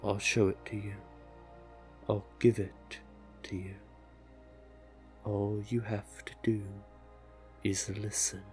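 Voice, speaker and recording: A seductive merman sea witch, played by a man, speaks in a low voice at a very slow, deliberate pace. He is doting and manipulative, coaxing and soothing the listener as in a hypnotic roleplay. Music plays softly behind him. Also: little pitch movement.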